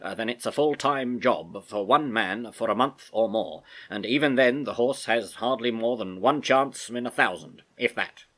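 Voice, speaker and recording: A man voicing a firm but humane army major in a storybook, speaking in a deliberate, clipped, authoritative way. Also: medium pitch, fairly bright tone, close to an everyday voice. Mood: careful.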